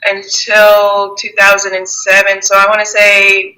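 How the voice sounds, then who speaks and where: A woman in her thirties talks through an interview in an easy, chatty way, laughing often and tripping over her own restarts. Her voice is very bright and forward, sitting in the middle of the usual range for a woman. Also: mid-range voice, monotone, a little slow, rough recording. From Germany and the United States. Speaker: guest, Muay Thai fighter. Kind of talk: casual interview conversation.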